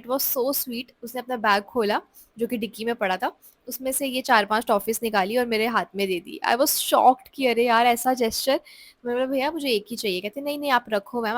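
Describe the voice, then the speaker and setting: A woman's voice: on the high side, normal speed, no room sound. A young woman, narrating her vlog in a chatty voice-over and mixing in English, with a sore throat from a cold. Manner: chatty Hinglish storytelling. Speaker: vlogger. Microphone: close voice-over mic.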